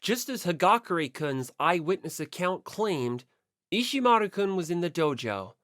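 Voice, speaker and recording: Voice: medium pitch, sing-song, normal speed, a bit bright, no echo, clean studio sound. An audiobook narrator reading a first-person story expressively.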